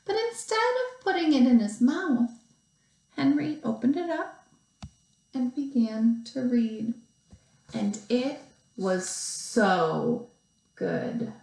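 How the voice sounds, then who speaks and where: Slow, high-voiced woman reading a storybook, echoey room. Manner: expressive storytelling with deliberate pauses and stretched emphasis. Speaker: second grade teacher. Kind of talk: picture book read-aloud for young children. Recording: roomy, echoey sound.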